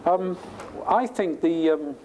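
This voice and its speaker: A man's voice: a little high, slow, darkish tone. A male professor explaining technical ideas informally in a lecture, thinking aloud in a conversational way. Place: lecture room at a university. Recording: clearly noisy lecture room recording.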